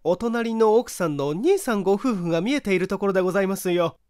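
A man's voice: sits high, expressive, quick pace, darkish tone, clear, resonant tone, dry recording, clean studio sound, pitched above the performer's own voice. A man voicing a chatty, polite neighbor housewife in an effusive manner for a story reading. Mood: eager, welcoming.

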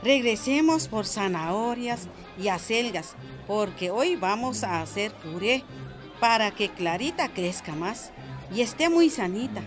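An actress playing a chatty, cautious village grandmother in a radio soap, with a Guatemalan accent and an expressive, folksy way of talking. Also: medium pitch, unhurried, music in the background, natural voice. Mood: cheerful. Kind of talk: radio soap opera acting.

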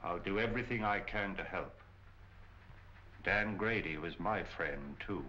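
An actor playing a courteous, shrewd gentleman detective in a measured, articulate 1940s film style. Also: low voice. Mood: grave, helpful. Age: 50s. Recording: muffled, hissy 1940 film soundtrack.

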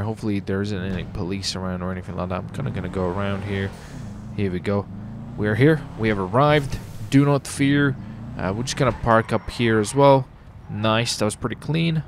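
Excitable man hyping up gameplay. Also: lowish voice, slight background noise. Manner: excitable, hyped, swings loud when surprised. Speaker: gameplay streamer. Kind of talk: roleplay gameplay commentary.

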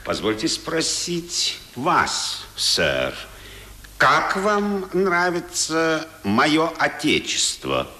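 Pompous colonel, high sweeping voice. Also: male voice, huge pitch swings, drawn-out words, pushed and bright, exaggerated voice. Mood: inquisitive, self-important. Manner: pompous, declamatory. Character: pompous, boastful American colonel and newspaper editor. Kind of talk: Soviet 1970s radio drama acting. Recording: roomy 1970s radio play recording.

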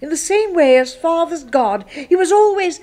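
A woman's voice: very high-pitched, animated, moderate pace, a little mellow. An actress playing a prim, self-righteous British secretary in a 1970s radio drama, precise and prissy in her speech. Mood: wistful, adoring. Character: prim, bitter, self-righteous spinster secretary, seething with disgust.

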